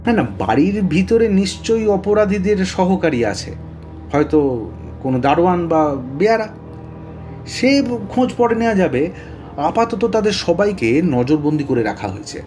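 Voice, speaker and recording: High-pitched, excitable police detective. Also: male voice, moderate pace, soft background music. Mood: flustered, confiding. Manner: blustery, hesitant stammers and repeated words. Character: excitable, talkative middle-aged male police detective. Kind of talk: Bengali audio story acting.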